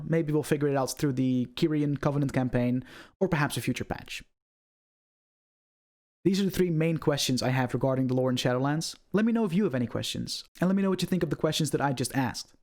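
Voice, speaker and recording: A man's voice: medium pitch, darkish tone. A man hosting an online video, giving scripted commentary on video game lore in a presenter's style.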